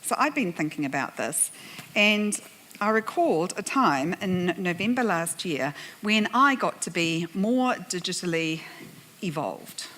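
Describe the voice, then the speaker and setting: A woman's voice: on the low side, expressive, a little slow, fairly bright tone, a little hiss or hum. A woman with a New Zealand accent, moderating a conference panel and telling a humorous, self-deprecating story to the audience with frequent laughs. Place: conference plenary room.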